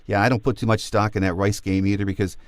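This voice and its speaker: An American man hosting a sports podcast, speaking in a measured, conversational way as he interviews and comments. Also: on the low side, little pitch movement, dry recording. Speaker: podcast host.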